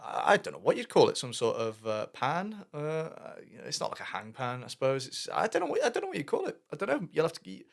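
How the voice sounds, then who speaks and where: A man's voice: on the high side, huge pitch swings, quick pace, vocal fry, hardly any pauses. A young British man hosting a reaction video, thinking aloud in casual commentary with lots of fillers and restarts. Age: young adult. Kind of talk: casual commentary over music. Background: music playing faintly.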